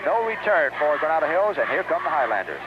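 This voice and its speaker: An American man calling high school football play-by-play on the radio in an animated way. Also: quick pace. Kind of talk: live football play-by-play commentary. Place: outdoor high school football game broadcast. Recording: muffled noisy 1970s radio broadcast audio.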